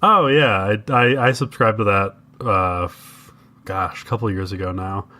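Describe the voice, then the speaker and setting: A man's voice: on the low side, normal speed, pushed and bright. A man co-hosting a podcast, explaining things in casual conversation. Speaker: podcast co-host.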